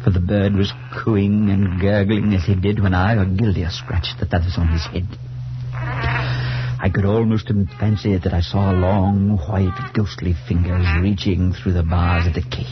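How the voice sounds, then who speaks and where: An actor playing a grave, troubled priest who narrates in a measured, solemn 1940s radio suspense style. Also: male voice, low-pitched. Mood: hushed dread. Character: grave, troubled male priest, shaken but reasoning. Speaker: narrator and character. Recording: dull 1940s radio recording with music.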